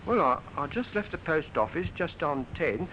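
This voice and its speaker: A man's voice: a little high, animated, moderate pace, lightly acted. An actor playing a polite young postal boy, hesitant and deferential, in an old radio drama. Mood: eager, nervous. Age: young adult. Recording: dull, noisy old radio recording.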